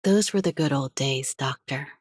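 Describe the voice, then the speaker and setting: Game casting: dignified, resolute woman leader, very low husky voice, grave and formal. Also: moderate pace, no echo, clean studio sound. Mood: solemn, nostalgic. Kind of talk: scripted game voice lines.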